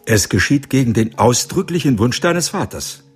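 A man's voice: medium pitch, animated, normal speed, slightly rough. An older actor playing an upright, authoritative senior lawyer in his seventies in a radio drama. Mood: sober, insistent. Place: studio.

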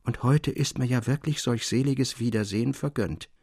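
A man's voice: medium pitch, normal speed, darkish tone, husky, no room sound, clean studio sound. A man voicing a grief-stricken, imploring old woman, a faithful bride, in a literary audiobook reading. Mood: yearning, tender. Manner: lamenting, imploring.

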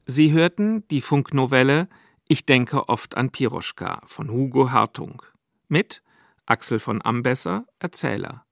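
Slow, gravelly, lively male radio announcer. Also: mid-range voice, no room sound, studio quality. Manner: measured, list-like delivery. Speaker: radio announcer reading the credits. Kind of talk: formal 1950s radio announcement.

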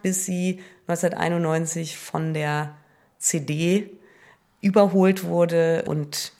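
Deep female voice, vocal fry, matter-of-fact spokeswoman, measured interview answers. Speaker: trade association press spokeswoman. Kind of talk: factual interview statement.

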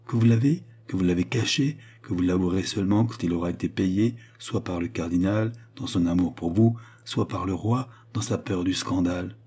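Grave, jealous noble lover for a historical audiobook, played by a man: solemn, declamatory, lowish, husky. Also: moderate pace, few pauses, dark tone. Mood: grave accusation. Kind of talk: dramatized historical novel reading.

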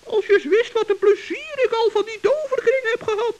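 A woman's voice: extremely high pitch, a little fast, darkish tone, muffled recording. A woman voicing a cheerful, brave male forest gnome in an old children's radio play, chatty and earnest. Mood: chirpy.

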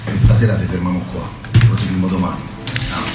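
Patient, firm male traveller, acted by a man, Roman Italian accent, low voice, roomy and distant, music behind. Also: steady tone, fast talker, close to an everyday voice. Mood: insistent. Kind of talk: radio theatre acting.

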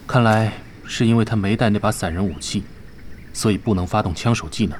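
An actor playing a composed, precise vice-captain of a gaming team in an audio drama, speaking tersely. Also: male voice, fairly low pitch, brisk, a little hiss or hum, natural voice. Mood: analytical.

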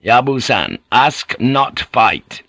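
An urgent, commanding foreign seafarer as a male audiobook narrator plays him. His phrases are broken and halting, delivered with a sharp, forceful edge in a dry, close space. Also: medium pitch, big pitch swings, breathy edge, dry recording, full character voice. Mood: halting appeal.

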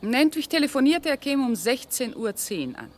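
An actress playing a composed, sharp-witted, articulate woman in a German radio crime drama. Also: high voice, big pitch swings, normal speed, slight background noise. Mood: composed, challenging.

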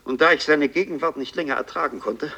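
A man's voice: fairly high pitch, gravelly, sharp tone, dull audio, heavily acted. Haunted Parisian bookseller telling his tale. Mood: haunted, agitated. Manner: literary, deliberate, intense. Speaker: actor narrating a radio play. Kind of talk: dramatic first-person radio play narration.

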